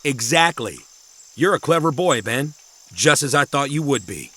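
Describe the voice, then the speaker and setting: Grave, secretive professor, lively intonation. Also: male voice, medium pitch, breathy edge. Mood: measured, intrigued. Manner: measured, cryptic, ominous. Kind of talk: kids' audio drama acting.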